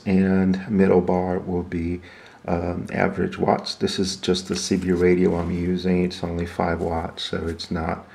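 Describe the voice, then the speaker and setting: A man giving an unscripted, hands-on equipment walkthrough in a halting, explanatory way. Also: low-pitched, creaky, a little mellow. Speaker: product demonstrator.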